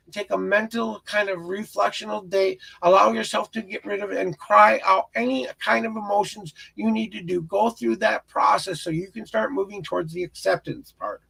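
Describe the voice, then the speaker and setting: A man with a crackly, raspy voice giving an earnest, encouraging and rambling tarot reading as a live stream host. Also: high-pitched, bright, forceful tone. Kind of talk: spiritual tarot reading, casual guidance to a viewer.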